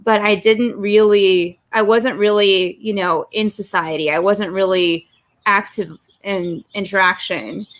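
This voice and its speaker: A woman in her thirties from Russia, a native Russian speaker, talking as a guest on a video-call interview in a chatty, quick way. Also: medium pitch, steady tone, fairly bright tone. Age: 30s.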